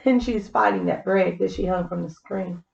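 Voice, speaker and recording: A woman's voice: on the low side, normal speed, gravelly, a little mellow, reverberant, lo-fi sound. A woman reading a children's story aloud, with explanatory asides to young listeners. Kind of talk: scripted storybook narration with simple asides.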